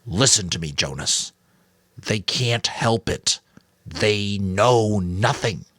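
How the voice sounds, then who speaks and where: Guy doing a tired wise old man, real slow and grave, firm but kind, audiobook drama. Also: on the low side, lively intonation, fairly bright tone, dry recording, clean studio sound, exaggerated voice. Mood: solemn insistence. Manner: firm, solemn, deliberate. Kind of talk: dramatic audiobook character reading.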